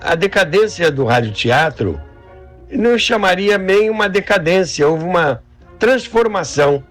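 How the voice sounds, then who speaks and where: A man's voice: high-pitched, expressive, measured pace, a bit bright, soft background music. An older man with a Brazilian accent, explaining and reminiscing in an interview.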